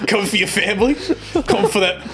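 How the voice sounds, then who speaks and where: A man's voice: high voice, animated, fast talker, voice pushed up. Man playing a bitter blokey Australian rival. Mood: mock vengeful. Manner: blokey, repeatedly says mate. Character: bitter beaten sports rival turned vengeful villain.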